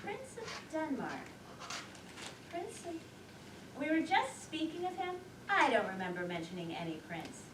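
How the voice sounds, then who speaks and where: A woman's voice: sits high, big pitch swings, measured pace. A woman playing a chattering, forgetful wife in a live stage comedy, exclaiming as she goes. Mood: absent-minded. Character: chattering forgetful wife absorbed in crossword puzzle. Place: small theater stage. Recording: echoey theater from far off, loud noise.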